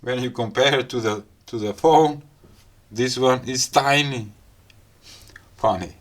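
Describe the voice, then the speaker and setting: A man with a non-native English accent giving a casual hands-on gadget demo in short, halting phrases. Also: mid-range voice, a little hiss or hum. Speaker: gadget review host. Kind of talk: casual hands-on unboxing and product demo talk.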